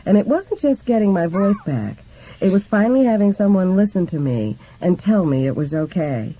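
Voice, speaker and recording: A man's voice: high-pitched, animated, normal speed, mellow. A man reading a memoir aloud as scripted narration, in a dull lo-fi recording. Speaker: reader of a book.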